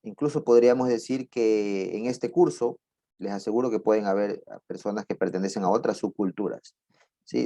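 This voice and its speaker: Middle-aged professor, mellow dark voice, digressive classroom chat. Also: male voice, deep voice, creaky, no echo. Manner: digressive, chatty, with asides and laughs. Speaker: university lecturer. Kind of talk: conversational online lecture.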